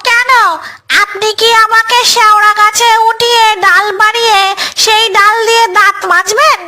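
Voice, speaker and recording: Very squeaky, vain ghost bride. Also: female voice, level tone, normal speed, bright, forceful tone, slight background noise, exaggerated voice. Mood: cheeky. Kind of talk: comic cartoon voice acting.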